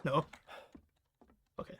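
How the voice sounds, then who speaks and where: A woman's voice: slow, slight background noise. Woman muttering and exclaiming through a game. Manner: reactive, exclamatory, mild swearing at the game. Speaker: gamer narrating own playthrough. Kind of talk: casual gaming commentary, muttering to self.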